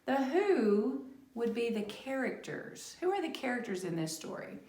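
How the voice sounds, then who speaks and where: A woman's voice: fairly high pitch, very animated, moderate pace, mellow. A woman talking children through a story like a lesson, with many rhetorical and tag questions. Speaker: speech-language pathologist reading to children. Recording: echoey room.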